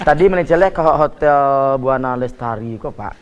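A man's voice: a little high, animated, measured pace, long reverb tail, slight background noise. A man co-hosting a studio talk show, joking and teasing as he asks questions, speaking into a handheld mic. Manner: joking, teasing, mixes local words. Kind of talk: playful studio banter. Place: television studio set.

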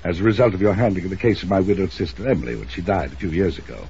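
An actor playing a wealthy, proud, quick-tempered older gentleman in a 1950s radio drama, speaking formally and emphatically. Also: low-pitched, animated. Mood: formal, earnest. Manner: formal, emphatic, courteous to guests. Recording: muffled, noisy old radio recording.

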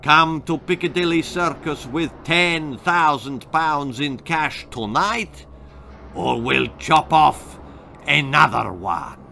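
Male reader playing Italian gangster, storybook villain, gruff, threatening, forceful, barked orders. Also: on the high side, slow pace, a little hiss or hum, full character voice. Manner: gruff, barking, threatening commands. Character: menacing Italian gangster pizza delivery man with gun.

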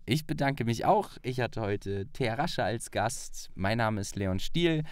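A man's voice: medium pitch, expressive, a little slow, smooth, dry recording, studio quality. A man hosting a podcast, chatting casually with a guest. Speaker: podcast host. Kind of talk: casual interview chat.

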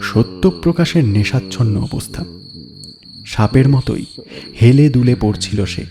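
A man narrating a crime story in a measured, suspenseful, dramatic storytelling style. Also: medium pitch, lively intonation. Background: background music.

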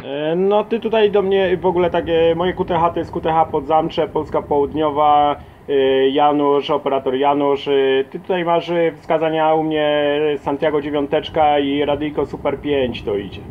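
Fairly high-voiced man, CB operator. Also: fairly even intonation, moderate pace, few pauses, muffled recording, slight background noise. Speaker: CB radio operator. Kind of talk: casual CB radio contact.

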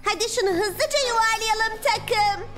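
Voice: very high-pitched, unhurried, over music. A performer voicing a spirited, eager little girl superhero in a dubbed cartoon, speaking emphatically. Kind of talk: animated cartoon dubbing.

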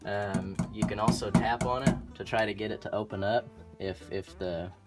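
A man with an Oklahoma accent, an HVAC technician explaining furnace repair in a relaxed, practical way, with frequent ums and restarts. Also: on the low side, normal speed, loud music behind the voice. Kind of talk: instructional how-to explanation. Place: indoor utility closet by a furnace.

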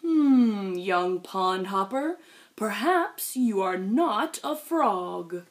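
A woman voicing a wise, calm old pond turtle from a picture book, measured and pondering. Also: medium pitch, voice pushed down. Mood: thoughtful.

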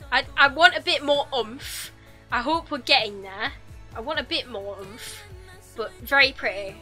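Young woman, reaction host, bright gushing chatter, desk mic at home. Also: a little high. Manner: chatty, gushing, self-correcting restarts. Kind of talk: casual reaction commentary. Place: small home room indoors. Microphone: desk microphone, wearing headphones.

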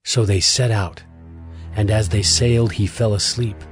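Low-voiced male scripture narrator over music. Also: fairly even intonation, unhurried.

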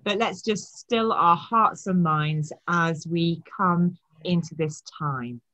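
A woman's voice: fairly low pitch, slow pace, sharp tone, low-quality recording. A middle-aged woman, a church minister, giving a formal welcome and introductions over a webcam from her home study.

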